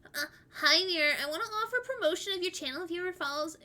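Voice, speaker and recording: A woman voicing a pushy spam bot that rushes through a mock-polite pitch in broken grammar. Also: pushed and bright. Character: pushy spam bot hawking channel promotion.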